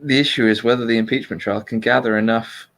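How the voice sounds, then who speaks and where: A man's voice: medium pitch, fairly even intonation, fast talker, gravelly, a bit bright. A man hosting a casual livestream political discussion in an analytical way, qualifying his points carefully. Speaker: livestream host.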